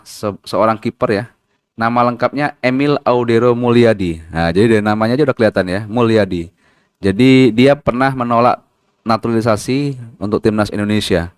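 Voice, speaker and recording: A man's voice: lowish voice, clear, resonant tone, no room sound, studio quality. A man hosting a football channel, talking casually to his viewers with lots of fillers and tag questions, sometimes stumbling over foreign names. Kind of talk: casual spoken commentary.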